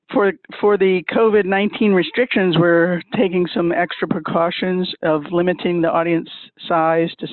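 A man speaking for a music school, explaining plans in a measured way with many pauses. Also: fairly high pitch, no room sound. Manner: measured, pausing with many uhs. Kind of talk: casual explanation. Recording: dry, tinny phone line.

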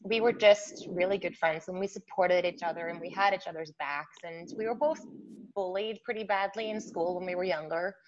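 A woman's voice: fairly low pitch, steady tone, normal speed. A woman from Norway, a pianist guest, chatting casually about her life with lots of fillers. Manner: chatty, warm storytelling with frequent fillers. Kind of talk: casual interview chat. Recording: muffled remote line with faint hiss.

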